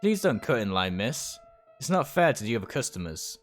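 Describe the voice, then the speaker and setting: A resigned young amnesiac from a visual novel, read by a man. He talks plainly and guardedly at a medium pitch, hesitating, with faint music behind him. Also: very animated, moderate pace, close to an everyday voice.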